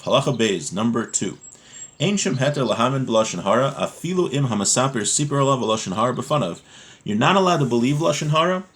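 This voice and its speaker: A man with a mid-range voice teaches a religious class informally. He explains step by step in a conversational manner, checking in with the listener as he goes. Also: mid-range voice. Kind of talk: informal lesson reading Hebrew text and explaining it in English.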